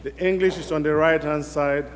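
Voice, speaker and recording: Igbo-accented male worship leader, higher pitch, switches between Igbo and English, long church reverb. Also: steady tone, normal speed. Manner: gives page directions in Igbo and English. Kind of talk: leading a liturgical service. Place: church sanctuary. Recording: reverberant church hall with loud background noise.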